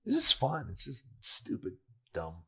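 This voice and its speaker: A man's voice: mid-range voice, wildly swooping pitch, unhurried, vocal fry, fairly bright tone. A man chatting casually as he presents a video game segment, blunt and profane, often repeating phrases for emphasis. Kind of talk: casual chat.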